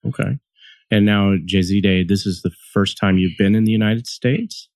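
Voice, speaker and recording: A man's voice: fairly low pitch, normal speed, a little mellow, dry recording, clean studio sound. A man hosting a podcast as a couples therapist, reading a scripted intro and then chatting with guests in a friendly, easy way with light laughter.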